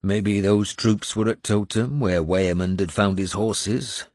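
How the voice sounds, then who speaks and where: Low-pitched, unhurried male audiobook narrator. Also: steady tone, darkish tone, no echo, clean studio sound. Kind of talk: scripted first-person fiction narration.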